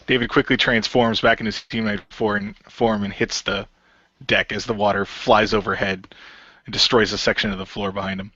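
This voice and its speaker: Bright-voiced man narrating an improvised radio play. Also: on the low side, flat delivery, normal speed, no echo, highs cut off. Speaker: roleplay player narrating his character.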